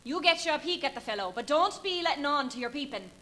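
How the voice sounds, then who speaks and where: A woman's voice: high-pitched, lively intonation, fast, pushed and bright. A woman playing a chatty, bossy village innkeeper's wife in a live radio play, fussy, gossipy and full of exclamations. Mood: gossipy, flustered. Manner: gossipy, exclamatory, deferential to guests, scolding the maid.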